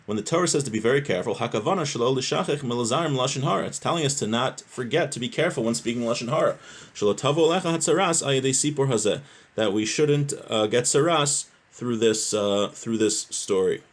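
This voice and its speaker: A man's voice: mid-range voice, lively intonation, fast talker. A man with a mid-range voice teaches religious law. He reads passages aloud and then explains them in plain terms, rephrasing points and putting short questions to his listeners. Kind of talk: religious lesson, reading a text aloud and explaining it.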